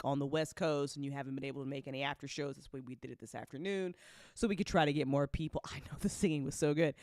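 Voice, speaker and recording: A woman host with a clear, smooth voice and a close, dry sound. She chats casually and effusively on a livestream, often repeating herself. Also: low-pitched, no echo. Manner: effusive, repeats phrases, swears freely. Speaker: host and voice actor. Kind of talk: excited casual livestream chat.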